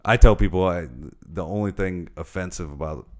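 A man's voice: deep voice, moderate pace. A man from Detroit, a comedian guest, chatting casually on a podcast and telling stories with laughs. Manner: animated storyteller, laughs while telling jokes.

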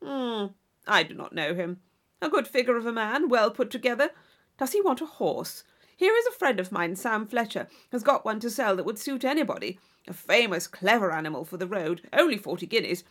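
Audiobook role: woman as boastful young Regency gentleman, blustering and brash, full of loud exclamation. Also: fairly high pitch, sing-song, a little fast. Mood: boastful, hearty. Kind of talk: audiobook character voice.

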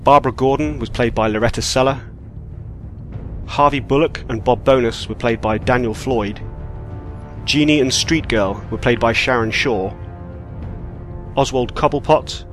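Man reading scripted credits over soft music. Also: medium pitch. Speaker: credits announcer. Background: faint music.

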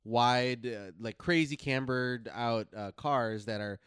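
A man co-hosting a podcast in casual, slangy talk, pausing and rephrasing as he explains his notes. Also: medium pitch, a bit bright, clean tone, no room sound, studio quality. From Philippines or Filipino family. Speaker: podcast co-host. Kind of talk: casual chat, summarizing notes.

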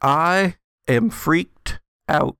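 A man voicing a shaken, confused man in a gothic horror game, stammering and halting. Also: a little high, creaky, bright, forceful tone, clear, resonant tone, no echo, studio quality, exaggerated voice. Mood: dazed, freaked out.

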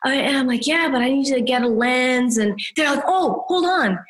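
A woman in her fifties from New Hampshire, a photographer chatting as an interview guest, talkative and quick to laugh. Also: sits high. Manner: chatty, laughs often, lively quoted voices. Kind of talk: casual interview chat.

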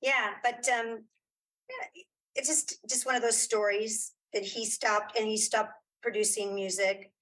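A middle-aged or older woman chatting casually as an interview guest, telling stories with animated, self-mocking asides. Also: a little high, a little slow, poor audio. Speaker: interview guest, audio drama creator and former actress.

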